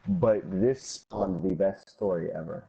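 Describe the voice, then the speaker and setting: Husky, low-pitched young man, muffled audio. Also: unhurried, warm tone. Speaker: guest storyteller. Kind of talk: casual anecdote telling.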